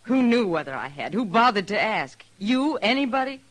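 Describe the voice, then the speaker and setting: A woman's voice: medium pitch, big pitch swings, moderate pace. An actress playing a world-weary, defiant frontier woman in a radio western, plaintive but hard-edged. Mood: bitter, defiant. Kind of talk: 1950s radio western acting.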